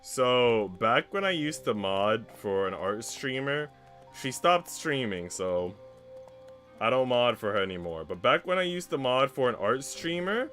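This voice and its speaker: A man's voice: mid-range voice, expressive, a little slow, fairly bright tone, faint music behind. A man livestreaming in casual, conversational chat with his viewers. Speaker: livestreamer reading visual novels.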